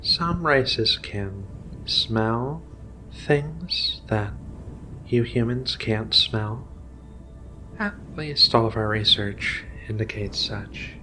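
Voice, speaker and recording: Male ASMR roleplayer, gentle alien researcher: slow, soothing, reassuring delivery with music underneath. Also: lowish voice, low-quality recording. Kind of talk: soft-spoken ASMR roleplay.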